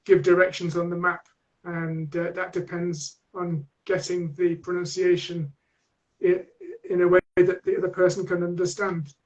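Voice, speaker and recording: A man with a Manchester accent answers questions as a guest teacher trainer, pausing often and restarting his sentences as he thinks. His voice sits unusually high for a man. It comes through the dull, lo-fi sound of an online call. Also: little pitch movement. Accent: Manchester English. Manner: thoughtful, hesitant with many restarts.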